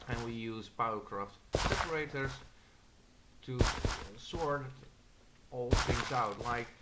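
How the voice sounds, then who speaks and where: A man's voice: medium pitch, slow, very noisy. A young Dutch man with an accent, hesitantly narrating a tour of his game server with many fillers. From Netherlands. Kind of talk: casual screen-recorded walkthrough.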